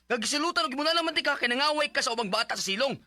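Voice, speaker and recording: A man's voice: extremely high pitch, animated, rapid-fire, pushed and bright, full character voice. A man playing an exasperated, hot-tempered stepfather in a Bisaya radio drama, scolding and complaining. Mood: angry scolding.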